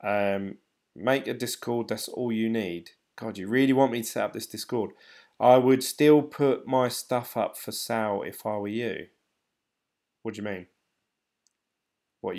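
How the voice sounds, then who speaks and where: A British man in his thirties hosts a late-night live stream, talking loosely with plenty of ums and half-started sentences. His voice sits fairly low and sounds tired and slightly croaky and dry. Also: a little mellow. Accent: British English. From United Kingdom. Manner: rambling, hesitant, many ums and restarts. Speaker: crypto channel host reading live chat.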